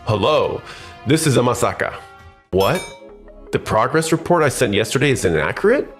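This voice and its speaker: A man acts out a self-serving office schemer from a dubbed comic drama. His pitch swings up and down in an excitable, easily flustered way. Music plays behind him. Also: moderate pace, natural voice. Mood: alarmed. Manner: stammers when cornered, excitable. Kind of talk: anime-style dramatized dub acting.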